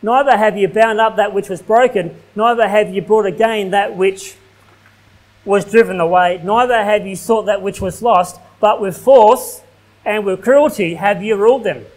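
High-voiced Australian man preaching. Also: normal speed, rough recording. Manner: preaching at a pulpit, reading King James verses aloud, casual fillers like "like". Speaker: pastor. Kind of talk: sermon with scripture reading. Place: small church hall, indoors.

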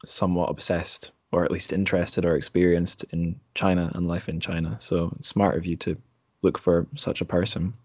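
A man hosting a conversational interview, speaking in a measured way and pausing to form his thoughts. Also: deep voice, flat delivery, husky, dry recording. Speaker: podcast host, interviewer. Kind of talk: conversational book discussion.

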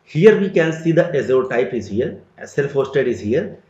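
A man teaching a screen-recorded software tutorial, explaining each step as he clicks through an interface. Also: medium pitch, very animated, unhurried. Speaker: tutorial instructor. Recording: roomy, dull lo-fi sound.

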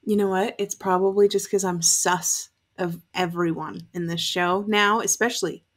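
A woman's voice: on the low side, fairly even intonation, moderate pace. A young adult woman giving chatty, candid reaction commentary on a TV show, with casual swearing. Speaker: reaction video host. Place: bedroom, indoors.

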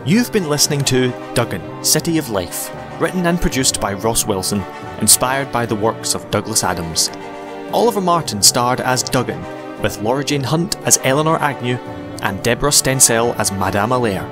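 A man's voice: mid-range voice, expressive, normal speed. Male credits announcer over music. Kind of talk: scripted narration. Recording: clear music underneath.

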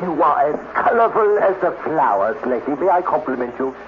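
Servile, flattering robot played by a man, swooping delivery. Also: fairly high pitch, fairly quick, natural voice. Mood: gushing. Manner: florid, deferential. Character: telepathic robot, flattering, servile, eager to please. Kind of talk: 1950s radio acting. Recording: noisy, muffled 1950s radio recording.

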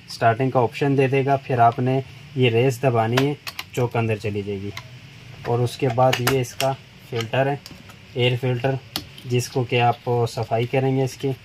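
A middle-aged man, calmly explaining step by step how to fit a chainsaw blade at a tool shop counter. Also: mid-range voice. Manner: plain, unhurried explaining while working with his hands. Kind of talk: step-by-step product demonstration. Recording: noisy shop recording.

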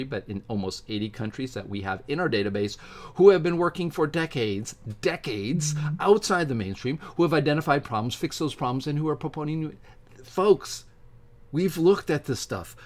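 An older man reads aloud from a book and adds informal, emphatic asides as a video host. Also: mid-range voice. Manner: emphatic, animated delivery with asides. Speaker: video host and science commentator. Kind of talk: informal commentary while reading aloud from a book. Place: indoors, home study with bookshelves.

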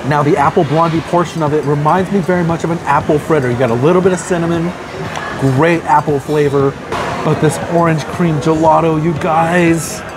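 A man in his thirties, a food vlogger, talking to the camera with eager, savoring praise while eating in a busy restaurant. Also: fairly high pitch. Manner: enthusiastic, savoring each bite with upbeat praise. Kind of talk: casual food review to camera. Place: busy restaurant dining room, indoors. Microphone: phone or camera held at arm's length. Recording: background music playing in restaurant.

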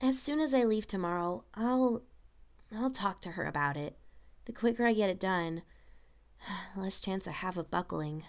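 A woman's voice: medium pitch, animated, a little slow. A woman voicing a worried, protective young princess who sounds earnest and unsure in a fan fiction drama. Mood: resigned, hesitant. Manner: earnest, hesitant.

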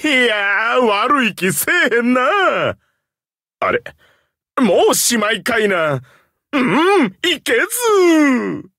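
A man voicing a genial, confident middle-aged hero commander in a mobile game, with an Osaka Kansai accent, a husky voice and an expressive delivery. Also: very high-pitched, slow, no room sound, clean studio sound, exaggerated voice. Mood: playful, teasing. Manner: expressive, teasing, rallying. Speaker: game character voice actor. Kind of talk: Japanese mobile game character voice lines.

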